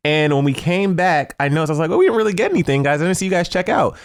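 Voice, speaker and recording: An American man co-hosting a podcast, telling casual stories with excited asides and acted-out reactions. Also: on the high side, racing. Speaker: podcast co-host. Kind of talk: casual chat, storytelling.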